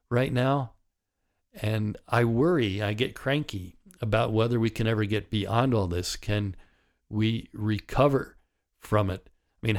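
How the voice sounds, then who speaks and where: A man in his sixties hosts his solo podcast in a raspy, slightly low voice. A partly paralyzed vocal cord leaves his tone rough. He talks candidly and wryly, in a loose conversational way. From United States. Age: 60s. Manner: candid, wry and conversational, with chuckles. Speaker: podcast host. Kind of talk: reflective solo podcast monologue.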